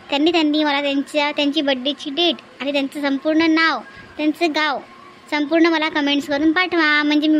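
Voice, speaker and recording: A woman's voice: high-pitched, a bit bright, slight background noise. A woman chatting to viewers as a channel host, coaxing and repeating herself. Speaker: host of a comedy cartoon channel.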